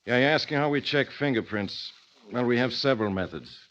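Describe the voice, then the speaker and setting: An actor playing a shrewd, courteous police inspector, brisk and matter-of-fact, in an old-time radio thriller. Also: male voice, mid-range voice, animated, fairly bright tone, close to an everyday voice. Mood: calm, confident. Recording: muffled vintage radio recording.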